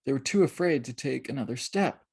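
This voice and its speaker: Children's audiobook narrator: expressive, dramatic, widely varied pitch, some vocal fry. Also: fairly quick. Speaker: author reading their children's book aloud. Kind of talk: scripted storybook narration.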